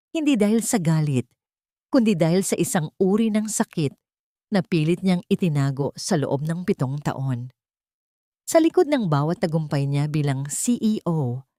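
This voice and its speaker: A woman with a Filipino accent narrates a scripted story in a measured, solemn and dramatic way. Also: low-pitched, a little mellow, no room sound, studio quality. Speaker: audiobook narrator.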